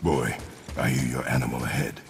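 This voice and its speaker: An actor playing a gruff, stern middle-aged warrior father in a video game, terse and commanding, with a deep gravelly voice. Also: little pitch movement, brisk, background noise, full character voice. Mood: stern, guarded.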